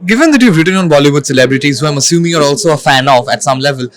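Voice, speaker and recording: A quick-talking man with a fairly high voice. He interviews a guest at a literature festival and delivers prepared questions smoothly, without stumbling. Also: lively intonation. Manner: fluent, polished questioning.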